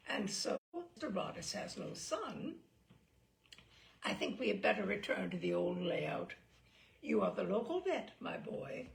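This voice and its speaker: A woman's voice: a little high, gravelly, full character voice. A woman voicing a breezy, imperious older earl with a pompous, jovial and unflappable manner.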